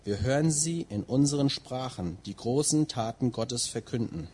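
A man interpreting a sermon phrase by phrase, and at times reading a long scripture passage at a steady pace. His mid-pitched voice has a husky grain. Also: moderate pace. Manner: translates line by line, with some fillers and restarts.

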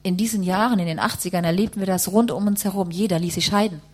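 Woman interpreter, medium pitch, phrase-by-phrase delivery, live testimony, rewording on the fly. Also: fast.

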